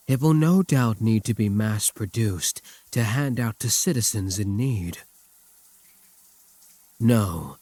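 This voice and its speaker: A man playing a brooding, tender vampire king in intimate ASMR roleplay, husky and courtly, with formal endearments and hesitant pauses. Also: on the low side, no room sound, heavily acted. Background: soft music.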